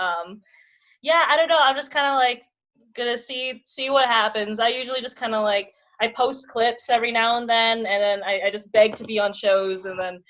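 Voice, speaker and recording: A woman's voice: a little high, fairly bright tone, lo-fi sound. A woman in her twenties, a comedian guest, chatting casually and rambling with lots of fillers and giggles. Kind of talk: casual interview chat.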